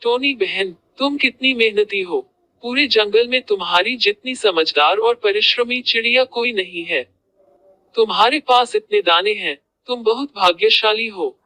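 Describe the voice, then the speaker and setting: A woman voicing a sly, greedy male cartoon crow with a flattering, wheedling manner. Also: mid-range voice, measured pace, voice pushed down, low-quality recording. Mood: sweet flattery.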